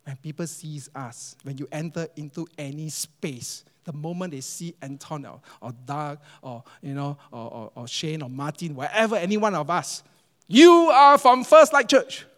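A man with a Singaporean English accent preaching a sermon as pastor, animated and emphatic, repeating phrases for effect. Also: a little high, normal speed. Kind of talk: sermon to a congregation. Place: church auditorium. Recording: long reverb of a large church hall.

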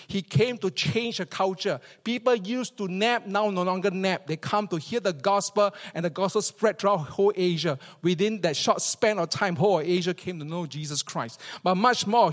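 A man with a Singaporean accent preaching a church sermon as a pastor, emphatic and repeating phrases for effect. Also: high voice. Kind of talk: church sermon with scripture reading and storytelling. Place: large church hall, preaching to a congregation. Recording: reverberant hall.

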